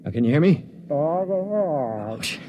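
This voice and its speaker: Tense leader, noisy old radio. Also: male voice, on the high side, expressive, slow pace, lo-fi sound. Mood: urgent, commanding. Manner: urgent, commanding, clipped. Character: decisive, tense male leader of captive Earthmen. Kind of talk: 1950s radio drama acting.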